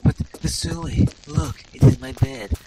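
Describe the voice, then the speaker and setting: A man's voice: medium pitch, measured pace, close to an everyday voice. Brazen lewd woman adventurer played by a man, leering broken english, noisy mic. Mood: seductive. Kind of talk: improvised comedy voice-over over gameplay.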